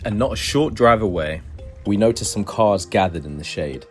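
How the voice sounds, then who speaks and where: A man's voice: lowish voice, lively intonation, moderate pace, soft background music. A man, a wildlife photographer, narrating his family safari journey in an earnest, reflective voice-over.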